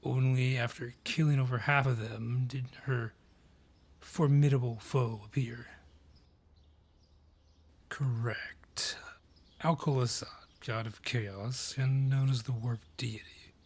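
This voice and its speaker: A man playing a grudging, sardonic dryad in a fantasy audio roleplay, with a gruff, curt, world-weary manner and a husky, breathy voice. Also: medium pitch, steady tone, slow, pauses often, darkish tone. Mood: grave, storytelling.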